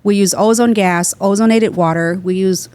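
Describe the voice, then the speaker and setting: This is a woman from Vietnam, a guest dentist, explaining her work in a podcast conversation. She talks in an earnest, teaching way with loose grammar and a middle-pitched voice. The sound is close and dry, with no room echo. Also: fairly even intonation, moderate pace, mellow, clear, resonant tone. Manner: explanatory, earnest, drops small grammar words. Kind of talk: podcast interview.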